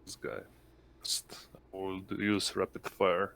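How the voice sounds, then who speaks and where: A man's voice: low-pitched, level tone, slow, vocal fry, fairly bright tone. A man talking casually as a player in a tabletop game.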